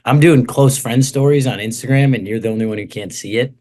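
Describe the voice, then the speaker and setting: A man's voice: medium pitch, a little fast, a little mellow. Joking man on a podcast. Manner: joking, self-deprecating, laughs often. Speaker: podcast co-host. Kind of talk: casual comedic banter.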